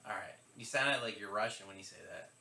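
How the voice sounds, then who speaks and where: A man with a very bright, sharp voice co-hosts a casual chat. He chimes in briefly with informal wisecracks, and the room around him sounds noticeably echoey. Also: on the low side, fast talker, creaky. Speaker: podcast co-host. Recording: roomy echo.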